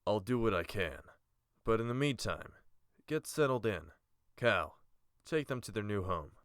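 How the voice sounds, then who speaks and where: A man playing a calm, authoritative guild-leader mage in a fantasy audiobook, speaking in a measured, smooth voice. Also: fairly low pitch, dry recording, clean studio sound. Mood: reluctant, measured.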